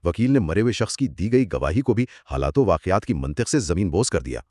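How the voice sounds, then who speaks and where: Animated, low-voiced man explaining formally. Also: fairly quick, slightly rough, dry recording, studio quality. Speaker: co-host explaining law. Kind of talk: scripted two-host explainer discussion.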